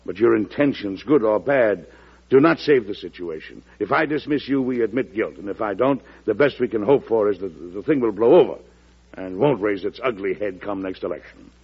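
A courtly, husky president, old radio drama. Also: male voice, lowish voice, moderate pace. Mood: stern. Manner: courtly, hesitant, musing aloud. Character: dignified bachelor US president, romantic and flustered in love. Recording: muffled vintage radio broadcast recording.

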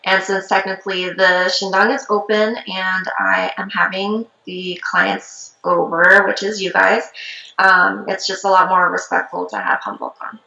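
A woman's voice: mid-range voice, measured pace, vocal fry. A woman raised from Korea whose first language is Korean, a shaman chatting casually in an interview with lots of ums and likes. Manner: relaxed, many fillers like um and like. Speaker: traditional shaman. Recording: roomy, slightly dull sound.

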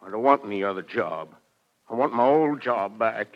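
An actor playing a nervous, aggrieved, earnest American dog catcher in a 1940s radio comedy, plaintive in manner. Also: male voice, lowish voice, lively intonation, normal speed. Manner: nervous throat-clearing, plaintive. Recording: dull vintage radio recording.

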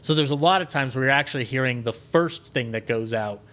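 A man's voice: mid-range voice, fairly quick, a bit bright. Male podcast guest, mid-range voice, casual and rambling storyteller with a film buff's enthusiasm.

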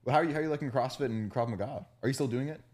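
A man's voice: medium pitch, very fast, darkish tone. A man chatting casually as a podcast host, frank and conversational in how he puts his points. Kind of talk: casual chat.

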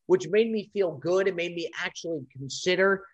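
A man's voice: high voice, normal speed, highs cut off. A man hosting a podcast, talking in an emphatic, earnest and teasing way in casual chat. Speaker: podcast host.

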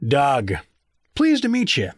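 Folksy, animated American dad. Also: male voice, medium pitch, slow pace, no echo, clean studio sound, full character voice. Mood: chatty, oblivious. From rural South Dakota. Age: middle-aged. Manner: affable, rambling, corny small talk. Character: chatty, oblivious, folksy suburban American dad. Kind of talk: audio fantasy comedy acting.

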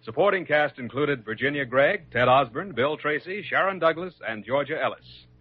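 A man's voice: a little high, animated, moderate pace, husky. A man reading scripted announcements as a 1940s radio announcer. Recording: dull old radio recording. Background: music.

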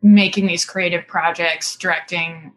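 A woman's voice: lowish voice, steady tone, measured pace, poor audio. A woman in her thirties from Singapore, a film director chatting casually as an interview guest, talking in long runs packed with "like" and "you know" and laughing easily.

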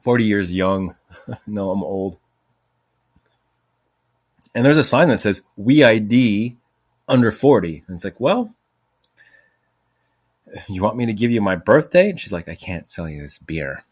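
A man's voice: on the low side, lively intonation, unhurried, pauses often, darkish tone, breathy edge. Rambling self-mocking man in his forties. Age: 40s. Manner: chatty, profane, self-mocking, drifts off topic. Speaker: podcast host. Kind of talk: rambling casual storytelling.

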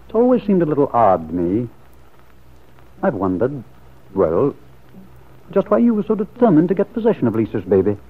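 A man's voice: mid-range voice, wildly swooping pitch, normal speed, pauses often, husky. An actor playing a suspicious, brooding man in a classic radio soap opera, earnest and probing, with hesitant phrasing. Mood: doubtful. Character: suspicious, brooding lover pressing for the truth. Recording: muffled lo-fi vintage radio recording.

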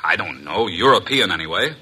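An actor playing a nervous, defensive airline pilot, edgy and halting, in a 1940s radio drama. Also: male voice, sits low, very animated, bright, forceful tone, husky, heavily acted.

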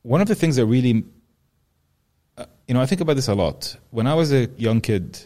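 A man's voice: mid-range voice, expressive, brisk, warm tone. A young man from Jordan hosting a podcast interview, talking in a casual, rambling way and swearing freely. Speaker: podcast host.

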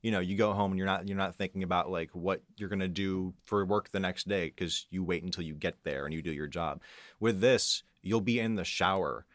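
A man speaking as a podcast guest in casual, reflective chat, explaining in a measured way and repeating phrases for emphasis. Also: deep voice, a bit bright, dry recording. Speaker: guest composer and audio engineer.

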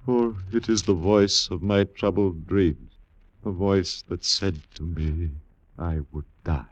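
An actor playing a proud, dread-gripped Roman ruler in a theatrical, imperious radio drama style. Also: male voice, deep voice, slow pace, dull audio. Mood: dread, agitated. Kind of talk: classic radio drama acting.

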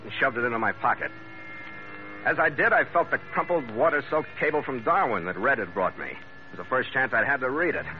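A man's voice: medium pitch, natural voice. An actor playing a tough, hard-boiled American sea captain who narrates his own radio adventure in clipped, wry words. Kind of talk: 1940s-50s radio adventure acting. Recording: muffled, very noisy vintage radio recording.

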